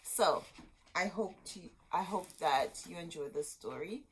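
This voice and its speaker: A woman reading a children's story aloud as host, expressive and dramatic, drawing out words for emphasis. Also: fairly low pitch, a little slow, slight background noise, rough recording.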